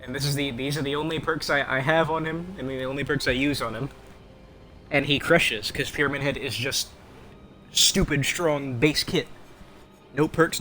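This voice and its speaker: A man's voice: on the high side, bright, forceful tone. A young man chatting casually over his own gameplay, rambling and wry, poking fun at himself and at other players. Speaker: game streamer.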